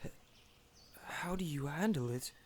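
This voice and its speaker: A man's voice: fairly high pitch, expressive, unhurried. A man playing a shy, hesitant, stammering young alchemist in a fantasy audio drama. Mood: flustered.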